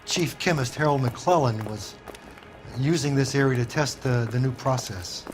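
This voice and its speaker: A man's voice: medium pitch, normal speed, natural voice. Man acting defensive older businessman over music. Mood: guarded, hesitant. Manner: hesitant, evasive, indignant. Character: defensive older male factory owner, businessman. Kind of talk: dramatic video game dialogue.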